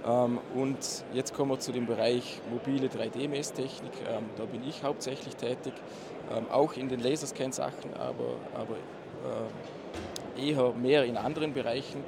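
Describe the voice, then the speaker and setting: Man, Austrian accent, flat intonation, halting informal presenter, restrained dialect, very noisy recording. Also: mid-range voice. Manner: halting, suppressing his dialect. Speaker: surveying technician presenting. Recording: loud background noise, live talk.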